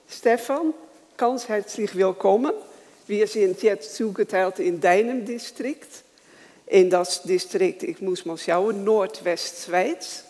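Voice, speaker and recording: A worship leader who welcomes the congregation, prays and reads scripture in a slow, halting way, with small grammar slips. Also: mid-range voice, a little mellow, long reverb tail. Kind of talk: church welcome, prayer and scripture reading.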